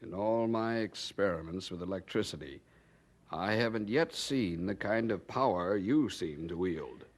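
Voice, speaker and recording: An actor playing a witty, worldly gentleman inventor in a radio drama, jovial and urbane in manner. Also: deep voice, unhurried. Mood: sincere.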